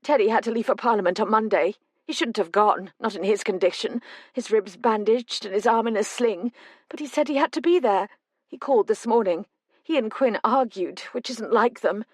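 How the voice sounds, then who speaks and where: A woman voicing an older mother who is frightened and tearful, talking in a tremulous, rushed outpouring. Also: a little high, dry recording, clean studio sound, voice pushed up, natural voice. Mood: distraught.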